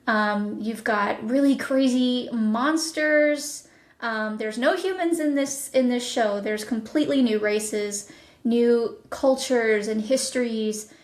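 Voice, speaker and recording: A woman speaking as an interview guest and producer, pitching a project conversationally with enthusiasm and thoughtful pauses. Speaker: podcast guest, audio drama producer. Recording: echoey, roomy sound.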